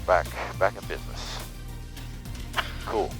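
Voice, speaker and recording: A man's voice: low voice, huge pitch swings, takes it slowly, gravelly. Man, pilot, casual and joking, clipped radio readbacks, cockpit headset, engine drone, faint music. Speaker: pilot and vlog host. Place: small twin-engine airplane cockpit in flight. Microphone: aviation headset.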